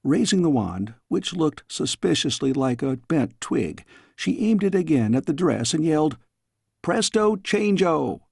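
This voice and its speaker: A man reading a storybook as scripted audiobook narration, in a measured, expressive storytelling way. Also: fairly high pitch, creaky, slightly rough, dry recording, studio quality. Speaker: audiobook reader.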